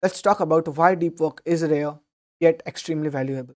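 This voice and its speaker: A young man talks through a book summary in a steady, earnest way, now and then restarting a phrase. His voice is mellow and soft-edged, with a slight hoarseness from a sore throat. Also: fairly high pitch, fairly quick. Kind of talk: explanatory book summary talk.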